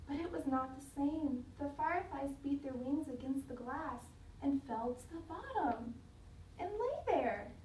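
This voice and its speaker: A woman teacher reading a children's story aloud in a dramatic, expressive way, pausing to question her listeners. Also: high-pitched. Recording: roomy, distant mic, faint noise.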